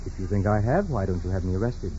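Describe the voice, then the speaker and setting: An actor playing a formal, deferential private secretary in a 1940s radio drama. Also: male voice, low voice, expressive, fast, mellow, slight background noise. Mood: formal, guarded. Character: male private secretary, deferential yet anxious. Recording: muffled 1940s radio recording.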